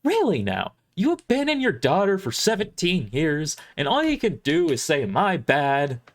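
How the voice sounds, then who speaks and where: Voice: mid-range voice, huge pitch swings, normal speed, pitched above the performer's own voice. A performer voicing a sweet but fierce woman who scolds like a schoolteacher in an audio drama. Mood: furious scolding. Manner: schoolteacher-like, emphatic.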